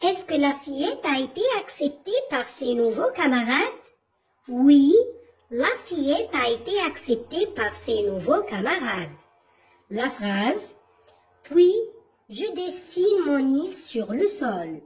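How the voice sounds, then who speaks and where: Female teacher, high animated voice, measured pace, leads kids through a text. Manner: slow, questioning, didactic. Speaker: primary school teacher. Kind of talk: reading lesson for children.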